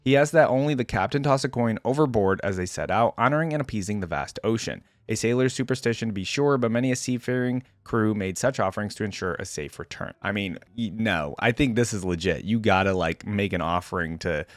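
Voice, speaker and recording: A man's voice: lowish voice, expressive, brisk, few pauses, dry recording, clean studio sound. A man hosting a reaction video, mixing casual, informal commentary with lore read aloud. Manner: informal, conversational asides. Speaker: YouTube reaction host.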